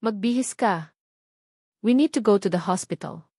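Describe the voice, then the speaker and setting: A woman with a Filipino accent voicing a calm, reassuring yet commanding young male lover in a novel narration. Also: medium pitch, normal speed, breathy edge, no echo, studio quality. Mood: calm, firm.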